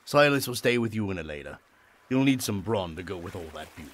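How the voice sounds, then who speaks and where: An actor playing a gallant, reassuring ship's captain in a period audio drama, courteous and playfully affectionate. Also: male voice, low-pitched, expressive, fast, fairly bright tone. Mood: firm, reassuring.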